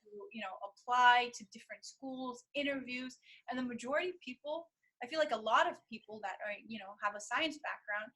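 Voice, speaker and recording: A young woman hosting an interview, chatty and animated, sharing her own student experiences. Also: fairly high pitch, a little fast, poor audio. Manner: chatty, lots of fillers, animated. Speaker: interview host, medical student. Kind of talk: casual interview conversation.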